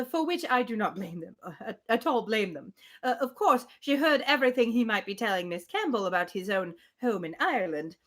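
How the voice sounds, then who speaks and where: Woman acting a high, swooping, chattering spinster gossip. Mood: fond, chattering. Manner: breathless, rambling, effusive. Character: chattering, gushing, good-natured spinster gossip. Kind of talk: classic novel read aloud in character.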